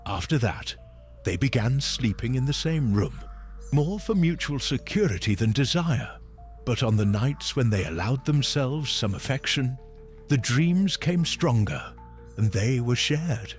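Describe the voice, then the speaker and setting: A man reading a scripted horror story in a dramatic, measured storytelling manner. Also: mid-range voice. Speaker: narrator. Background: faint music.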